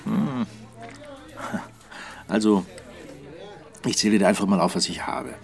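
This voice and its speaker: An actor playing a wry, well-informed journalist friend in a German radio drama, conversational and musing. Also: male voice, fairly low pitch, unhurried, gravelly.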